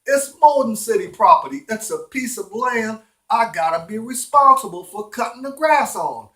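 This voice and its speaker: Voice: mid-range voice, lively intonation, moderate pace, fairly bright tone, voice pushed up, close to an everyday voice. A storyteller playing an irritable homeowner, a man who talks in a blunt, emphatic, indignant way. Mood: firm, annoyed. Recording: roomy, echoing room sound.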